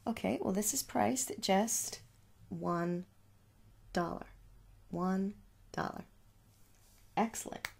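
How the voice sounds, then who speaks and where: A young woman speaking softly in an ASMR shop roleplay, coaxing the listener with little questions in a husky voice. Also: mid-range voice, takes it slowly, long pauses, a little hiss or hum. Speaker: ASMR performer playing a makeup shop seller. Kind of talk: soft-spoken ASMR roleplay. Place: small room at home.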